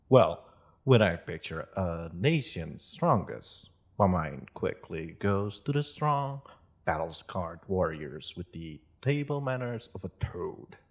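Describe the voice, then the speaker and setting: A refined, gentle dragon played by a man welcomes a guest to tea in an audio roleplay. The voice is very low and husky, unhurried and polite, with expressive rises and falls. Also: exaggerated voice. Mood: courteous, slightly awkward. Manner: polite, refined, hesitant, softly chuckling host. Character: courteous, gentle dragon hosting tea in its cave. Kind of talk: roleplay audio drama, addressing the listener.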